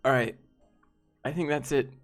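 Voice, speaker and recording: A soft-voiced man from the American South, chatting casually on a live stream, rambling with fillers and frequent laughs. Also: medium pitch, moderate pace, faint music behind. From Southern United States. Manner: relaxed, rambling, laughs often, many fillers. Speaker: streamer and host.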